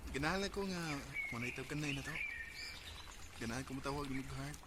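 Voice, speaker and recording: A man's voice: mid-range voice, a little fast, lightly acted. A man with a Bisaya accent playing an eager, lovestruck young rural student son in a radio drama. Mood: tender, smitten. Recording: very noisy radio drama recording.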